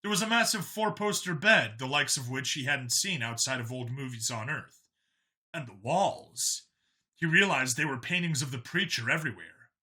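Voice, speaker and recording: A man's voice: medium pitch, expressive, normal speed, bright, forceful tone. A man narrating a science fiction action story from a script.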